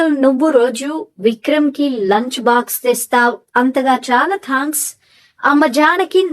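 A woman playing a kindly, frail old grandmother in a children's cartoon story, speaking in an affectionate, reassuring way. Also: sits high.

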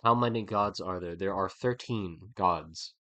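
A man's voice: low-pitched, unhurried, bright, forceful tone, no room sound. Stuffy-nosed man thinking aloud while drawing. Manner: rambling, stuttering restarts, thinking aloud. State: stuffy nose, a bit nasal. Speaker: artist streaming a creature design session. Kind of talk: casual livestream talk while drawing.

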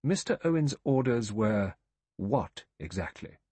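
A man voicing a dry, authoritative elderly judge in an audiobook, questioning with measured precision. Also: lowish voice, sing-song, warm tone, slightly rough, no room sound, exaggerated voice. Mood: stern, probing. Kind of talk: audiobook character voice.

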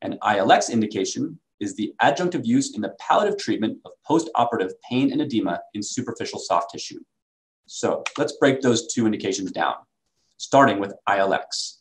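A man presenting a product as a company sales executive, in a measured, explanatory way. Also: on the low side, animated, poor audio.

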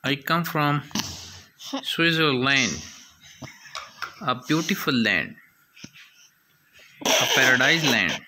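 A performer voicing a friendly brown teddy bear newcomer in a home puppet show, speaking in simple, halting, childlike sentences. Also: mid-range voice, expressive, sharp tone, slight background noise, voice pushed down, rough recording. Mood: proud.

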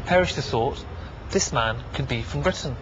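A Scottish man presenting on a city street, teasing and quick-witted with passers-by. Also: mid-range voice, expressive, fairly bright tone, lo-fi sound, a little hiss or hum. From Scotland. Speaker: TV presenter interviewing people on the street. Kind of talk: playful street interviews. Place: busy city street outdoors.

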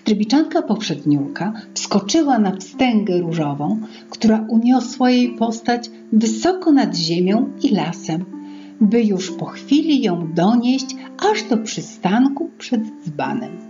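Middle-aged female storyteller, lively swooping intonation, theatrical, slightly dull recording with music behind. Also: medium pitch, slow. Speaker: author reading her own fairy tale. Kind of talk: expressive storytelling for children. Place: small indoor room at home.

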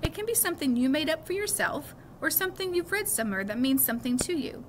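A woman's voice: high voice, big pitch swings, quick pace, a little hiss or hum, voice pushed up. Woman reading as a cordial male English teacher. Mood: warm farewell. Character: appreciative male English teacher's farewell note to class.

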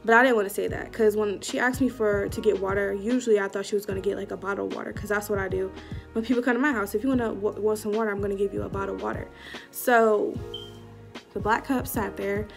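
A woman's voice: medium pitch, quick pace, gravelly, over music. Young woman telling a slangy story. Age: young adult. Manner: animated, slangy storytelling with acted-out quotes. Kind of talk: casual storytime vlog. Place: small indoor room before a curtain. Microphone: webcam or phone at arm's length.

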